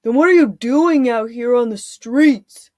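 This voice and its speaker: A woman voicing gruff, bossy male town guards who bark loud demands. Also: fairly high pitch, a little slow, no room sound, clean studio sound, natural voice.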